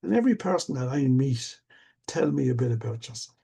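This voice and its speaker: An older man speaks with a gravelly, husky voice. His tone is dark and mellow. He talks about things in an earnest, reflective, measured way, the way a coach does. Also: medium pitch, very animated. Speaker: stuttering coach. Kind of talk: casual conversation.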